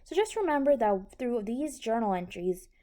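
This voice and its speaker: A woman's voice: a little high, very animated, normal speed, warm tone. A woman instructor patiently explaining a tutorial step by step, often checking that the listener is following. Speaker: accounting instructor.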